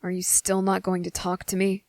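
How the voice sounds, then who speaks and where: A woman voicing a guarded, defiant young boy with deliberate, halting, serious delivery in an ASMR roleplay audio drama. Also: medium pitch, completely flat delivery, a little mellow, no echo, studio quality, natural voice. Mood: puzzled, guarded.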